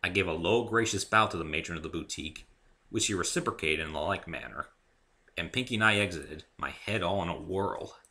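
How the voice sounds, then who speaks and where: A man's voice: low voice, brisk, pushed and bright. A man reading scripted first-person fiction as an amateur story narrator.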